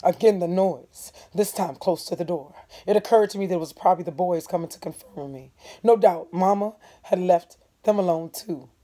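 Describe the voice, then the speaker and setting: A woman reading a novel aloud in an expressive, dramatic first-person storytelling style. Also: on the low side, normal speed, few pauses. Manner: expressive, dropping into hushed breathy tones.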